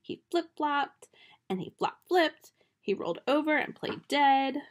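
A young woman reading a children's picture book aloud at home in a gentle storytime manner, recorded on a close webcam in a small bedroom. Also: high-pitched, animated, a little slow.

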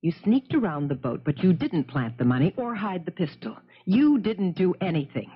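An actress playing a scheming, hard-edged wife with a biting, scornful manner in a 1970s radio drama. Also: female voice, fairly low pitch, big pitch swings, moderate pace, husky. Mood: accusing. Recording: muffled 1970s radio broadcast.

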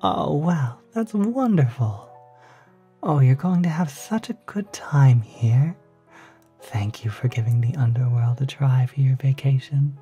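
A performer voicing a courteous, eager demon hotel receptionist in a soft, whispery, reassuring ASMR roleplay. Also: mid-range voice, a little slow, husky, faint music behind. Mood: welcoming delight. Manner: polite, reassuring, salesmanlike.

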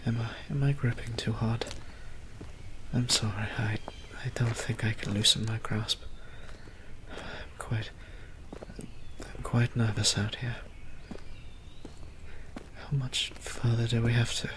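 A man playing a gentle, courtly young vampire priest in an ASMR roleplay, soft and breathy, with hesitant, formal, tender phrasing. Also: mid-range voice, flat delivery, slow pace, pauses often, close to an everyday voice. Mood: nervous, shy. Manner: hesitant, tender, formal old-fashioned wording. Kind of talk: ASMR roleplay audio drama.

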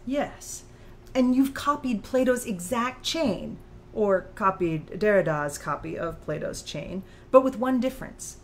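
A woman voices a female author in an audiobook dialogue, probing and analytical. She speaks at a middling pitch in pointed, articulate sentences. There is some noise behind the recording. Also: animated, unhurried. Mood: lecturing. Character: sharp, probing female author challenging her own creator.

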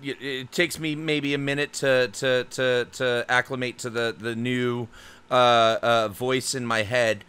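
Man, tabletop player, slow pace, very clear, bright tone, hesitant. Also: mid-range voice, level tone. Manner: hesitant, lots of uh and restarts. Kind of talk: tabletop game play, in and out of character.

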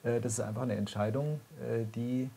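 A man hosting a talk show, halting and full of filler sounds. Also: medium pitch, steady tone, vocal fry, warm tone, slight background noise. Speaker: host. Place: small cluttered studio room, indoors.

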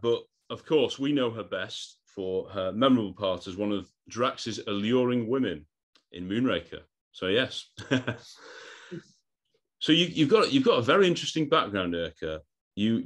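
A man in his thirties hosting a podcast interview on a video call, eager, often repeating words and laughing between lines. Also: medium pitch. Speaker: podcast host.

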